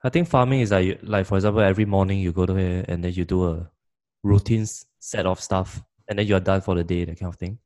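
Young Singaporean male co-host, very low pitch with a phlegmy throat, jokey and casual. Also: fairly even intonation, quick pace, darkish tone. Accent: Singaporean English. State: phlegmy, husky throat from haze. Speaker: podcast co-host. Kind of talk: casual chat.

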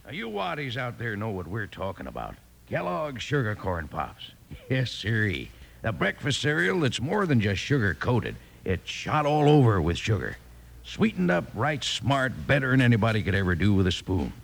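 An American man selling in a 1950s radio advertisement with a folksy cowboy drawl and a gravelly, husky voice. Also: medium pitch, huge pitch swings, normal speed, slight background noise. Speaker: commercial pitchman.

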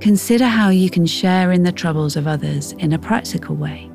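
A woman reading a scripted Bible devotional in a measured, reflective way. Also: lowish voice, vocal fry, a little mellow. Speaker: devotional reader. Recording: faint music behind.

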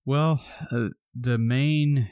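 A man's voice: mid-range voice, fairly even intonation, drawn-out words, darkish tone, clear, resonant tone, dry recording. A man co-hosting a podcast, explaining a topic step by step in casual chat, a little groggy. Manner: explains step by step with many restarts. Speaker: podcast co-host.

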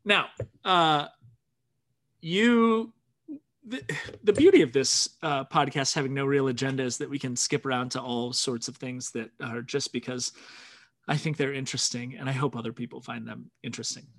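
A podcast host asking questions in a halting, hesitant way, often stumbling over words. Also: medium pitch, a bit bright. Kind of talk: casual interview.

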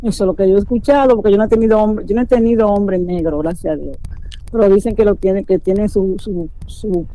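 A woman's voice: medium pitch, a little fast, warm tone. A woman answering on a phone call, hesitant and often repeating herself. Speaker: prank call target. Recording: phone call with music underneath.

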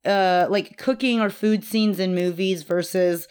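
A young woman co-hosting a podcast in casual chat, teasing and quick to laugh. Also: mid-range voice, a little mellow, smooth. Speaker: podcast co-host.

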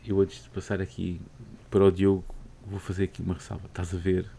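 Mellow-voiced Portuguese podcast host. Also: male voice, low voice, monotone, quick pace, creaky, slight background noise. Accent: European Portuguese. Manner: chatty, rambling with many fillers. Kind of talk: casual gaming podcast chat.

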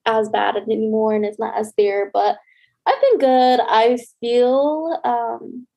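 Mellow, slightly stuffy young woman. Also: fairly high pitch, steady tone, takes it slowly, vocal fry, dull audio. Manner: chatty, lots of "like". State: stuffy from a cold. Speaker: podcast co-host. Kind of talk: casual podcast chat.

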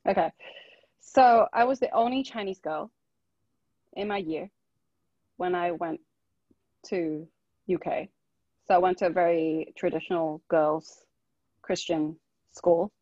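Husky woman from Hong Kong. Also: on the low side, slow, pauses often, warm tone, dry recording, dull audio. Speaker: interview guest. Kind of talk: casual personal storytelling.

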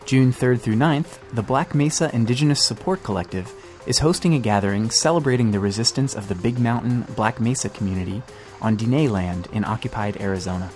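A man's voice: mid-range voice, a little fast, few pauses, darkish tone, faint music behind. A man hosting a podcast, reading scripted news narration. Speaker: podcast host.

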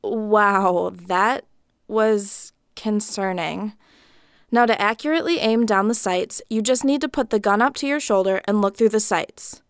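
A woman's voice: mid-range voice, a little slow, smooth, no room sound, studio quality, close to an everyday voice. A woman playing a sarcastic, bossy military training instructor in a video game, in a comic audio drama. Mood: exasperated, sarcastic. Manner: sardonic, bossy, condescending.

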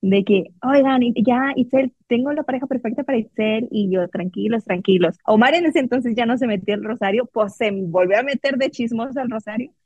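A woman's voice: a little high, fairly quick, hardly any pauses, a little mellow, slightly rough, dry recording. Chatty Mexican woman, muffled sound. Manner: chatty, laughing, quotes herself and others. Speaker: guest telling her love story. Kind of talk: casual storytelling conversation.

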